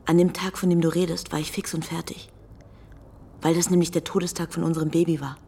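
A woman's voice: fairly low pitch, little pitch movement, quick pace, gravelly, warm tone, slight background noise. An actress playing a scornful, indignant woman in a German radio drama, cutting and sarcastic as she accuses. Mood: accusing, bitter.

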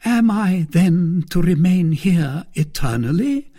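A man reading the part of a scheming, proud noblewoman in a high, whispery voice. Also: measured pace, warm tone. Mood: indignant. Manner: raised pitch, whispery cries. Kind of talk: audiobook character reading.

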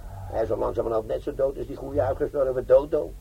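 An actor playing a cocky, chatty bomb-disposal sergeant of middle age, with darkly joking teasing, in a Dutch comic radio drama. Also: male voice, mid-range voice, a little fast, gravelly, dark tone, muffled recording, slight background noise, close to an everyday voice.

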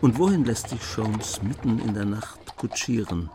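An actor playing a writer who narrates a master thief's adventures in a radio play, with measured, emphatic storytelling. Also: male voice, on the low side, expressive, very noisy. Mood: inviting, curious. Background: guitar music.

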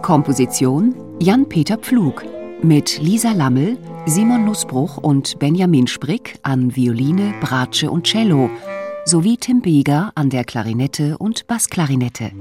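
A woman's voice: low-pitched, big pitch swings, slow. A woman reading scripted radio credits as a formal announcer. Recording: prominent music under the voice. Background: instrumental music.

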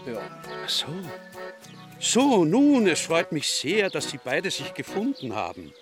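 Sarcastic, flustered Austrian police inspector. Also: male voice, a little high, wildly swooping pitch, a little slow, soft background music. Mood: exasperated. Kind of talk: radio drama acting.

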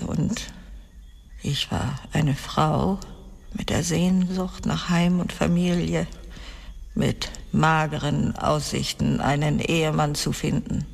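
Radio drama casting: embittered wealthy wife in middle age, low-energy slow confession, husky and breathy, reverberant space. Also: female voice, a little mellow, full character voice. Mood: bitter, confessing. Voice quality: husky, creaky. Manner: slow, halting, weighty delivery with breathy drops. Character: bitter, broken middle-aged wealthy wife confessing dark secrets. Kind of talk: dramatic radio play monologue. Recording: long reverberant hall sound.

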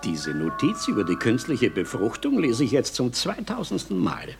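A man's voice: medium pitch, huge pitch swings, normal speed, gravelly, over music. An actor playing a dry, flippant, mildly affronted man in a comedic radio play, wry and clipped.

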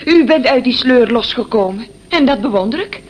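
A woman's voice: fairly high pitch, lively intonation, moderate pace, close to an everyday voice. An actress playing a gushing, curious young woman secretary in a Dutch radio play, with an effusive, forward manner. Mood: gushing, earnest. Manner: effusive, gushing, breaks into laughter. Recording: noisy old radio play recording.

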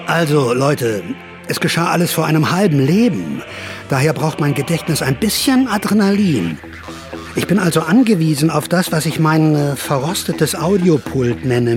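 An older man's voice, pitched high and very lively in its melody as an actor plays him. He narrates a radio play like a rambling amateur sleuth, self-mocking and wry, tossing in interjections. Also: natural voice. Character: rambling, excitable old male narrator, bald amateur sleuth of his past.

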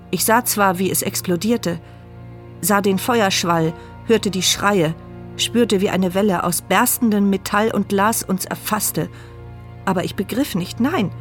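A woman's voice: mid-range voice, close to an everyday voice. An actress voicing a paralysed, blind and mute woman in a radio drama, narrating her inner thoughts in a dry, sardonic, reflective way.

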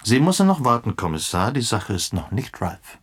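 A man's voice: medium pitch, very animated, normal speed, husky. Wry, sly male investigator. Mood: knowing, secretive. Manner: peppers talk with English phrases, sly. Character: wry, inquisitive male investigator with English interjections. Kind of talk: German radio play acting.

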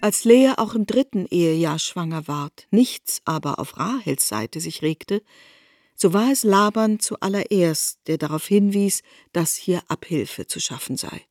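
A woman's voice: fairly low pitch, expressive, unhurried, a little mellow, dry recording, studio quality. A woman narrating a literary radio reading in a precise, formal way.

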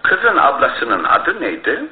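A man's voice: medium pitch, wildly swooping pitch, slow. A man playing a shrewd, courteous detective with a theatrical, questioning manner in a radio drama. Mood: probing, courteous. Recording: tinny, noisy old radio drama recording.

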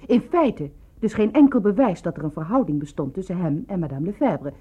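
An actress in a radio play voicing a probing, sardonic, disillusioned woman with a husky voice and a pointed way of questioning. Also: on the low side, animated, fairly quick. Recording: thin, phone-like old radio recording.

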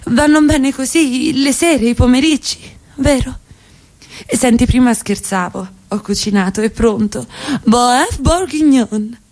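A woman's voice: on the high side, unhurried, a little mellow, no echo. A woman playing an evasive, defiant, seductive middle-class wife in radio theatre, with a theatrical, insistent delivery that can drop to a whisper. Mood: placating.

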